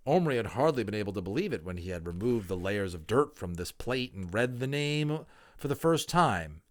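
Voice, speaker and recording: A man reading a storybook aloud as a dramatic narrator, expressive and emphatic, in an informal style. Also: on the low side, normal speed. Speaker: audiobook reader.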